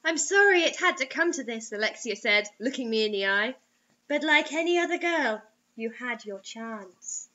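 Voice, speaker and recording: High, bright young woman reading aloud theatrically. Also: animated, moderate pace. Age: young adult. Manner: theatrical, sarcastic. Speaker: co-host reading a story aloud. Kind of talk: dramatic read-aloud with mocking commentary. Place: small room indoors. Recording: dull lo-fi webcam-style sound.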